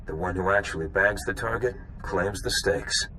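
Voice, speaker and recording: A man's voice: sits low, normal speed, pushed and bright, a little hiss or hum. An actor playing a seasoned bounty hunter in a video game, wry and knowing as he lays out old history.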